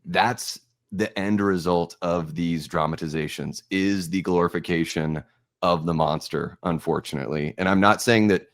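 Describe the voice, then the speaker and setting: A young American man speaks as a podcast host in a fairly low voice with a very dry sound. He talks informally and thoughtfully, often feeling his way through a point as he shares opinions and notes. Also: fairly even intonation, normal speed, no echo. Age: young adult.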